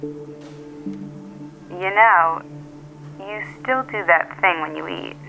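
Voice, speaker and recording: Through a thin telephone filter comes a self-assured, blunt woman in an audio drama. Her voice is bright and forward, with a dry, direct delivery that sounds natural and conversational. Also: on the low side, slow pace, creaky, faint music behind, full character voice, low-quality recording. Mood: teasing.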